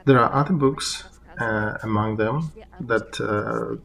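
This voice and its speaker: Man, lowish pitch, live interpreter, halting delivery. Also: vocal fry, fairly bright tone. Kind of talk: live interpreting of a political discussion.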